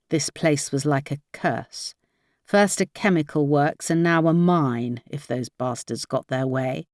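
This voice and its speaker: A woman's voice: low voice, no echo, studio quality. A woman reading a crime novel aloud as scripted audiobook narration.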